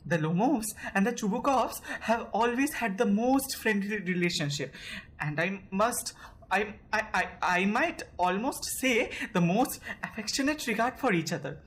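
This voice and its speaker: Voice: mid-range voice, expressive, normal speed, a bit bright, a little hiss or hum, natural voice. A young student actor with an Indian accent playing a nervous, fussy landowner suitor in an amateur audio drama, stammering and formal. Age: young adult.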